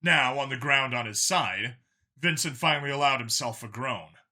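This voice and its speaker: A man's voice: medium pitch, normal speed, sharp tone. A man narrating a scripted action story as an audiobook narrator, in a dramatic reading style.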